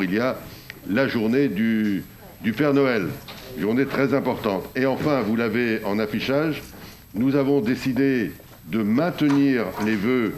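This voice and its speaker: A man with a French accent chairing a council meeting, speaking off the cuff in a semi-formal, hesitant way with drawn-out pauses. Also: medium pitch. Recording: faint background noise.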